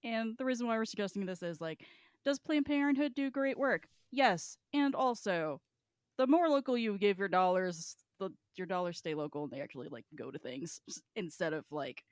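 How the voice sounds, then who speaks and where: A woman's voice: medium pitch, animated, moderate pace, dry recording, clean studio sound. A woman co-hosting a podcast, blunt and teasing, chatting casually with plenty of swearing. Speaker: podcast co-host. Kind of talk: casual banter.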